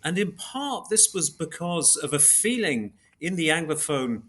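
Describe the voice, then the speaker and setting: A man speaking as an academic interview guest, explaining in a measured, scholarly way and pausing to search for words. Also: on the high side, big pitch swings, fairly bright tone.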